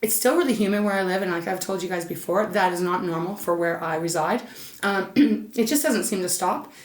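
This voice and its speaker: A woman's voice: lowish voice, fairly quick, reverberant. Chatty woman with a scratchy voice. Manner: chatty, soothing, addresses listener as Saggy. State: slightly scratchy, stuffed-up voice. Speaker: tarot reader. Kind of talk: casual monthly tarot reading.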